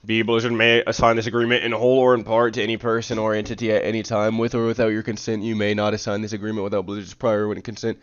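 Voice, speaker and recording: A man's voice: fairly low pitch, flat delivery, fast, few pauses, clean tone. A man livestreaming, reading formal text aloud and slipping into slangy, casual speech, stumbling over words and correcting himself, on slightly dull audio. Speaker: live streamer.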